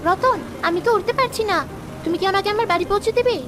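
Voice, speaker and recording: A woman voicing a tiny, gentle flower fairy in a children's cartoon, sweet and appealing. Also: very high voice, quick pace, background noise. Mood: gentle, sympathetic. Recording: music under the voice.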